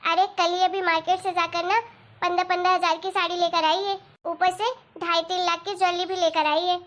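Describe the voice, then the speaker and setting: A woman's voice: extremely high pitch, flat delivery, brisk, fairly bright tone. A woman voicing a demanding, spendthrift young wife in a cartoon moral story, chatty and pleading as she nags. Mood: annoyed complaining.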